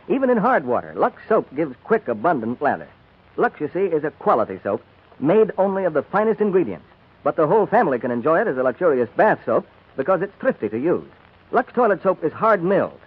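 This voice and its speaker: A man's voice: fairly high pitch, breathy edge. A man working as a 1940s radio announcer, reading advertising copy in a polished salesman manner. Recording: tinny 1940s radio recording.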